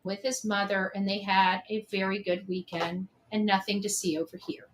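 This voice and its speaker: A woman's voice: mid-range voice, flat delivery, moderate pace, slight background noise, low-quality recording. A woman giving an opinionated, unscripted monologue, emphatic and accusatory, repeating phrases for force. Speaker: online commentator on a case.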